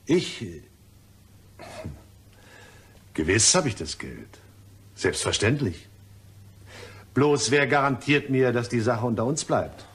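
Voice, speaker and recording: An actor playing a shifty, irritable gentleman in a crime radio play, slippery and impatient in manner. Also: mid-range voice, expressive, measured pace, close to an everyday voice. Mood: sly, probing. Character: shifty, irritable gentleman employee suspected of embezzlement.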